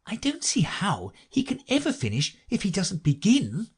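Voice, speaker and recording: A man's voice: sits high, wildly swooping pitch, moderate pace, a little mellow, breathy edge, pitched above the performer's own voice, heavily acted. A man voicing a polite, curious young girl in a storybook, prim and questioning, easily affronted. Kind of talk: storybook character voice.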